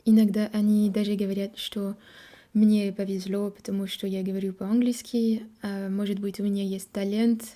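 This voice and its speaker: A young French woman with a French accent, talking to camera in a learner's halting Russian, pausing often to search for words and correct herself. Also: medium pitch, flat delivery, dark tone. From France. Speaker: vlogger. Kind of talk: casual monologue to camera.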